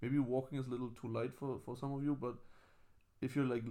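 German-accented man, relaxed casual talk. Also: mid-range voice. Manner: relaxed, halting, restarts words. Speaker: podcast co-host. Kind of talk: casual chat.

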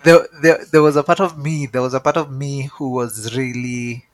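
Man, podcast co-host, easygoing chat, mid-pitched, dry close recording. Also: normal speed, no echo. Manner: relaxed, chatty, repeats words as he thinks. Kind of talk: casual chat.

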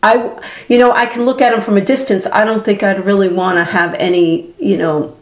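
A woman's voice: mid-range voice, fairly quick. A woman from Boston with a Boston accent, co-hosting a podcast in casual chat, rambling and restarting her thoughts. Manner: rambling, restarts her thoughts, quotes others in animated asides. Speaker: podcast co-host. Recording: echoey room.

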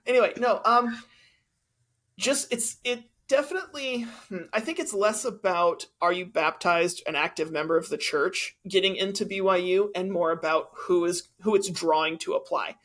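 Man, high voice, podcast co-host, argumentative, explains with examples. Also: moderate pace, a bit bright. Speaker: teacher. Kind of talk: casual debate chat.

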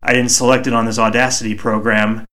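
Male solo podcast host, mid-pitched, soothing and measured, home mic. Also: level tone, sharp tone. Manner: measured, reflective, deliberately slow. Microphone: home microphone.

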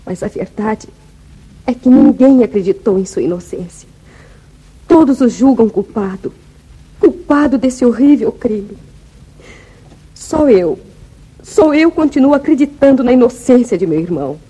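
A woman's voice: a little high, lively intonation, a little slow, long pauses, dark tone, slightly rough, rough recording. A woman playing a grieving, loyal ranch daughter telling her story solemnly in a 1950s Brazilian radio drama. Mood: insistent. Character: grieving, loyal young ranch woman recounting family tragedy.

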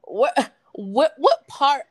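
A young woman hosting a podcast in casual interview chat, lively, slangy and effusive. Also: high voice, very slow, no echo, clean studio sound. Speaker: podcast host.

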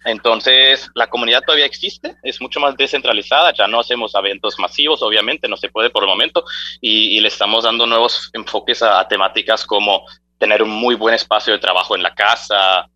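Guest: man, startup founder, bright tense voice, dry sound, lively and chatty. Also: lowish voice, a little fast, dry recording, dull audio. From Germany. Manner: friendly, animated, many fillers and restarts. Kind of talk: radio interview, casual conversational answers.

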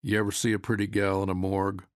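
A man's voice: lowish voice, monotone, fast talker, dry recording, studio quality, close to an everyday voice. A man reading the part of a big, stern small-town sheriff in a story, folksy and blunt. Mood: stern, accusing.

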